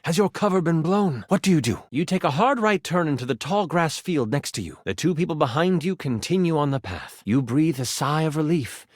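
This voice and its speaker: Animated, playful male game master. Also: on the high side, fairly quick, few pauses, no echo, clean studio sound. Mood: dramatic, suspenseful. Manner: dramatic storytelling, expressive, playful. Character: living D20 die as eager, playful game master. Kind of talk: theatrical tabletop game narration with playful asides.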